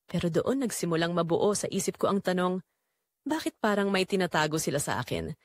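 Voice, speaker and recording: A woman's voice: on the low side, very animated, a little fast, no room sound. A woman playing a betrayed married daughter, narrating her painful family secret in an emotive, confessional first-person style for an audio drama. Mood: uneasy, suspicious.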